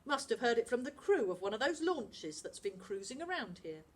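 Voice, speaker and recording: A woman's voice: on the high side, very animated, moderate pace, pitched above the performer's own voice. A woman voicing a cautious, anxious boy with a raised, complaining voice. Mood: doubtful.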